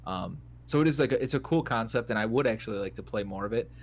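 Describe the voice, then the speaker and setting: A man in his thirties with a fairly low voice co-hosts a casual gaming podcast. He talks in a relaxed, joking way and slips into a mock newscaster read. A faint hum runs under the recording. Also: quick pace. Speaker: podcast co-host. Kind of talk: casual gaming chat, reading list items aloud.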